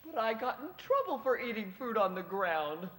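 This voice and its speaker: A woman voicing a naive, remorseful male puppy who speaks softly and sings plaintively in a children's musical story. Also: a little high, sing-song, moderate pace. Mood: puzzled sad. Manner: soft, plaintive, sing-song. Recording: roomy echo with loud music.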